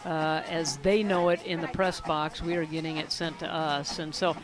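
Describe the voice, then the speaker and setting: A woman's voice: deep voice, flat delivery, normal speed, rough recording. A woman doing live sports commentary in a tentative way, thinking aloud as she goes. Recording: live sports broadcast with music behind.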